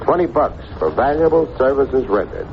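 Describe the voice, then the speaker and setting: An actor playing a tough, wisecracking private detective with clipped, sardonic delivery in a vintage radio drama. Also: male voice, mid-range voice, big pitch swings, normal speed. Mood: sardonic, pointed. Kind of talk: 1950s radio detective acting and narration. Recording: muffled, hissy old radio recording.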